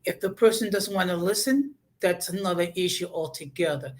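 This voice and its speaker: A woman speaking as a panelist in a casual political discussion, in a measured, explanatory way. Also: on the low side, darkish tone. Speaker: talk show panelist.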